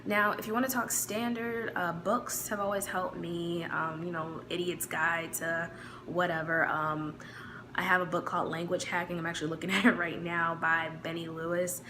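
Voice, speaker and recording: A woman's voice: on the low side, moderate pace, noisy background. A woman with a Midwestern American accent, chatting casually to camera with plenty of fillers. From United States. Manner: relaxed, chatty, many fillers. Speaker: vlogger.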